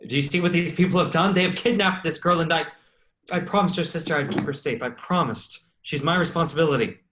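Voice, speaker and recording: A man's voice: fairly high pitch, fast talker, background noise, close to an everyday voice. An actor playing a desperate, protective man in a staged play reading, blunt and insistent. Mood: agitated. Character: Vincent, desperate, protective man cornered with a knife.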